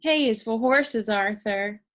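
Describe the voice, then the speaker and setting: A woman voicing a fussy, gentle storybook mother who corrects in a prim manner. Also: on the high side, slow pace. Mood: correcting.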